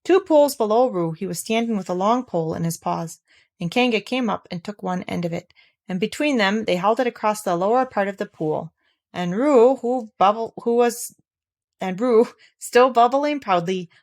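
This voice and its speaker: A woman reading a children's storybook aloud, telling it gently and with life. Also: mid-range voice, lively intonation, normal speed. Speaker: narrator reading a children's book.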